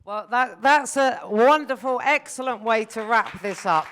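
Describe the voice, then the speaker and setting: Woman panel moderator, smooth resonant voice, encouraging. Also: fairly high pitch, measured pace, fairly bright tone. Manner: encouraging, affirming. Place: lounge at a festival panel event.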